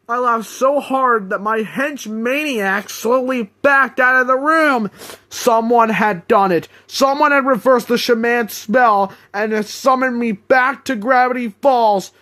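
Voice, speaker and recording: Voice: medium pitch, unhurried, sharp tone. A performer voicing a gleeful, scheming demon villain in a theatrical, boastful and mocking storybook reading. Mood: gloating, excited. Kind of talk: dramatic character audiobook reading.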